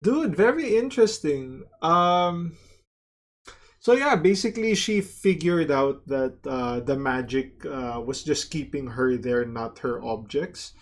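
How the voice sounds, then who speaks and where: Slow-paced casual male reaction host. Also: a little high, expressive. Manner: informal, slangy, halting.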